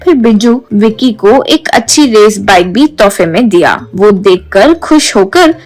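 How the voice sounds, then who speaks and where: A woman's voice: medium pitch, expressive, a little slow, a little mellow, soft background music. A woman reading a scripted children's story as its narrator.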